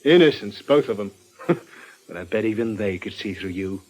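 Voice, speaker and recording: An actor playing a shifty British small-time crook, conspiratorial and goading, in an old-time radio drama. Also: male voice, lowish voice, lively intonation, normal speed, darkish tone, lightly acted, rough recording.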